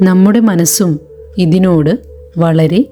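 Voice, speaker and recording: A woman leading a guided meditation in a gentle, calm, deliberate way, leaving long pauses between short phrases. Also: fairly low pitch, soft background music. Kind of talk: slow guided meditation narration.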